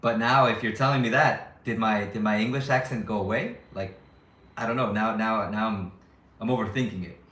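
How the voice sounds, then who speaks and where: A man's voice: fairly low pitch, fairly even intonation, normal speed, a bit bright, echoey room. A young man vlogging to his viewers in a casual, rambling way, often restarting his sentences and second-guessing himself. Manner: rambling, self-questioning, frequent restarts. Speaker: YouTuber living abroad. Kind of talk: casual vlog talk to viewers.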